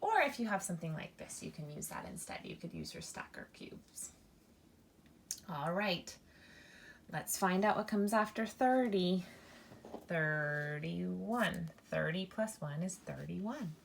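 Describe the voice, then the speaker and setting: Slow, animated woman teacher in her thirties. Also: fairly low pitch, creaky, slight background noise. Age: 30s. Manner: slow and animated, counting aloud, with mock-alarmed squeals. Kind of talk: children's math lesson. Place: indoors at a table, hands-only video.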